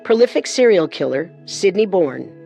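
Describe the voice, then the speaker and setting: A woman co-hosting a scripted true-crime program, speaking in a serious, deliberate way. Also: lowish voice, big pitch swings, creaky, dark tone, soft background music.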